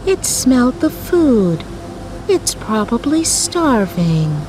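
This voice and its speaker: High, earnest, tender-hearted storybook lady, voiced by a woman. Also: very animated, takes it slowly, noisy background. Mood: concerned. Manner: exclaiming, earnest. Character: Angela, impulsive, tender-hearted lady on holiday. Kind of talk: storybook character voice. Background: music.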